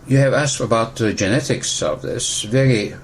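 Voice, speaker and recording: A man from Turkey, a physician calmly explaining a disease in an interview, precise and pausing to rephrase. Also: medium pitch, vocal fry, slight background noise, low-quality recording. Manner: measured, precise, pauses to rephrase. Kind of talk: explanatory medical interview answers.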